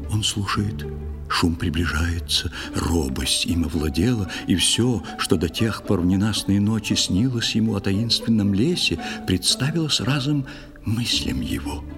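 A man narrating a poetic old tale for a children's audio play in a measured, expressive way, with drawn-out phrases. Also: fairly low pitch. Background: music.

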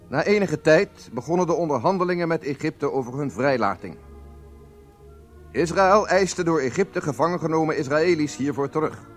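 An actor playing a proud, solemn head of a secret service, narrating a radio drama in a formal, measured way. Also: male voice, a little high, close to an everyday voice. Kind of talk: scripted first-person radio drama narration.

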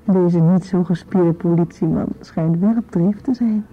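An actress playing a frank, quick-witted young activist woman who talks in a direct, teasing way in a radio drama. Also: lowish voice, muffled recording, close to an everyday voice. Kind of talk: naturalistic Dutch radio drama dialogue.

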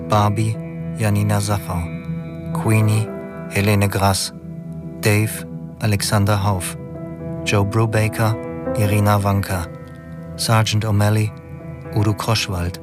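A man reading the scripted closing credits of a radio play as announcer. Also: on the low side. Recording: radio production under loud music.

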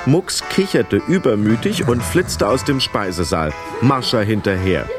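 Animated male storyteller. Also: fairly low pitch, a little slow, music in the background. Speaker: narrator. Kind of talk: scripted storytelling narration for children's radio play.

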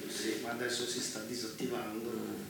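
An actor playing an embittered, unhinged man with political power, in intense stage style, halting and repeating himself with explosive force. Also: lowish voice, monotone, creaky. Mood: guarded, probing. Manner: halting, repeating words, erupting into shouts. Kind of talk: intense theatrical stage acting. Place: theatre stage, picked up from afar. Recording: very echoey, distant, noisy theatre recording.

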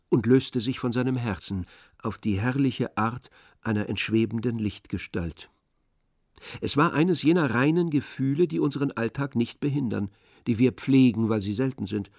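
A man narrates a classic novel as radio drama. His voice is medium in pitch and recorded close and dry, delivering scripted literary prose. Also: a little mellow, dry recording.

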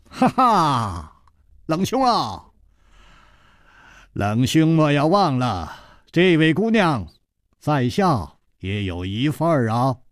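A man's voice: medium pitch, very animated, mellow, husky, dry recording, clean studio sound. A man voicing a grinning, carefree rogue in a martial-arts storybook, teasing and jokey. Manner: teasing, jokey, drawn-out particles. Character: grinning, playful, carefree male rogue adventurer.

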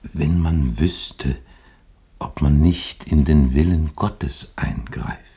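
An actor playing a calm, placating man in a radio play, gently dismissive in conversation. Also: extremely low pitch, takes it slowly, vocal fry, husky, heavily acted. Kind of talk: German radio play acting.

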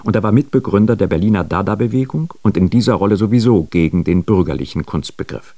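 Low-voiced man reading a scripted biography. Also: fast talker, warm tone, no room sound. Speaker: radio presenter of a biographical introduction.

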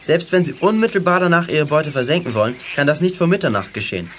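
A man's voice: a little high, animated, fast talker, fairly bright tone, slight background noise. A male actor playing a clever, confident, teasing boy detective in a German children's audio drama, speaking decisively. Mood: planning.

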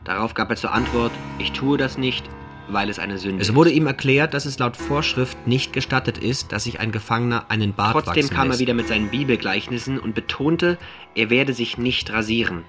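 An actor playing a stern official who formally reads out reports and commentary in a radio play. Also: male voice, mid-range voice, a little fast, fairly bright tone, soft background music, lightly acted. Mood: dry, official. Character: stern official male reader of press commentary and prison reports.

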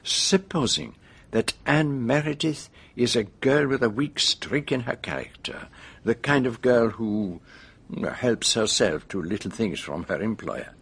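A man's voice: medium pitch, lively intonation, unhurried, breathy edge. An actor playing an older male detective in a radio drama, formal and courteous, with a French-speaking European accent and polite hesitations. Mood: shrewd, deliberate. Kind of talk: BBC radio drama acting.

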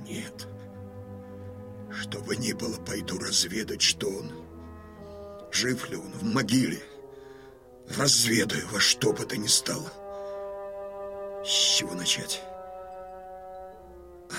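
An actor playing a stern, proud old Cossack chieftain in a radio drama, declaiming mournfully, moving between hushed and loud delivery. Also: male voice, on the low side. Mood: anguished longing, then grim resolve. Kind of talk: theatrical radio drama monologue.

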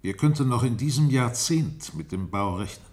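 A man playing a formal, firm spokesman of a community in a scripted German radio play, speaking deliberately, courteous but insistent. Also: mid-range voice, lively intonation, a little mellow, lightly acted. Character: formal, firm male spokesman of a primitive tower-obsessed community.

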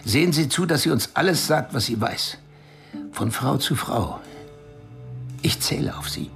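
A gravelly spy chief. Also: male voice, medium pitch, animated, a little slow, music in the background. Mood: instructing. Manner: curt, instructing. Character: gravelly male spy chief giving orders. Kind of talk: radio drama acting.

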